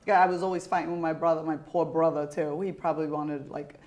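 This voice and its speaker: Brash woman podcast host, lowish voice. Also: fast. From United States. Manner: animated storytelling, swearing, laughing. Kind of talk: casual interview chat.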